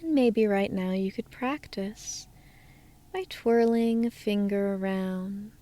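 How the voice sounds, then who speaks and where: A woman speaking as a hypnotist, guiding listeners through a slow, soothing trance induction that drifts into soft whispers at times. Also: mid-range voice.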